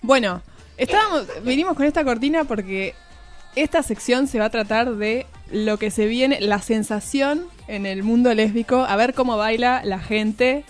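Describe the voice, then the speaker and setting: An Argentine woman hosts a radio show in a casual, chatty style. Her voice is a bit high, with some music playing under it. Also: normal speed. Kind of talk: casual chat.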